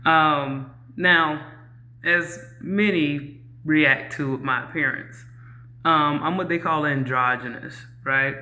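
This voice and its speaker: A woman with a very low voice, talking candidly to camera in a reflective way, with many ums and you-knows. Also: slow, bright, forceful tone. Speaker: YouTuber. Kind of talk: casual talk to camera.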